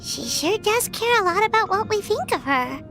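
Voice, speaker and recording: A woman voicing a tiny, childlike floating companion in an anime game, squeaky, cutesy and blunt. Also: very animated, moderate pace. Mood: musing. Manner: cutesy, perky. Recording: music under the voice. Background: game music.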